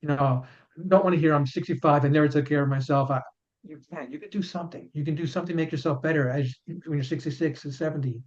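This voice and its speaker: A chiropractor in his fifties talks in an earnest, explaining way and often stumbles over repeated words. His voice sits a little high for a man, and the sound is muffled and lo-fi, as if it came through a remote call. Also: fairly even intonation, fast. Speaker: chiropractor and author, guest. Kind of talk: casual interview answers. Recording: dull lo-fi sound.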